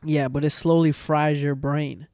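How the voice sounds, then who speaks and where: A young man hosts a home podcast, talking into a boom arm mic with a mellow, slightly high voice. His chat is loose and rambling, full of slang and restarts. The sound is dry and close. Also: level tone, measured pace, clear, resonant tone, dry recording. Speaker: podcast host. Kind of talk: casual chat.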